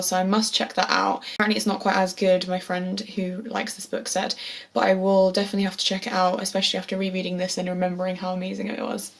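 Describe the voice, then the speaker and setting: A young woman with a slightly hoarse, sore voice, chatting casually about books to camera as a vlogger, enthusiastic and repeating words for emphasis. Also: mid-range voice. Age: young adult. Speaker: book vlogger. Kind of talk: casual book chat to camera.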